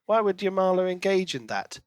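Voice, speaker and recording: A man's voice: high-pitched, sing-song, fairly quick, a little mellow, dry recording, clean studio sound. A man co-hosting a podcast, casually discussing a novel and musing aloud in questions. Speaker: book podcast co-host.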